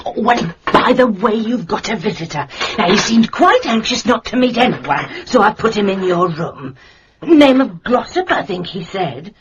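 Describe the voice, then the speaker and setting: An actress with an English accent playing a chatty, fussy, warm-hearted middle-aged theatrical landlady aunt in a British radio drama. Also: mid-range voice, animated, moderate pace, lightly acted. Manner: gushing, scatterbrained, endearments and exclamations. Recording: muffled radio recording with background noise.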